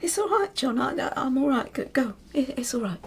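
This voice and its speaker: A woman's voice: sits high, expressive, moderate pace, husky, exaggerated voice. An actress playing a meek, anxious middle-aged English wife and mother in a radio drama, hesitant and downtrodden, with an English accent. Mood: shaken reassurance.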